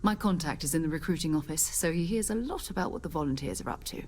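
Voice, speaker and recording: Space captain for a game: lower register, composed authority, principled and earnest delivery. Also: female voice, expressive, fast talker, soft background music. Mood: reassuring, businesslike. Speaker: expedition leader. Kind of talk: video game character dialogue.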